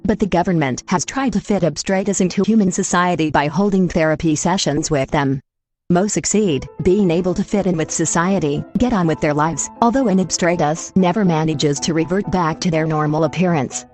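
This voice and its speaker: Woman playing a low-voiced, matter-of-fact news reporter. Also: brisk, darkish tone, faint music behind, natural voice. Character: matter-of-fact female TV field reporter on eerie incidents. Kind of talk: scripted news report narration.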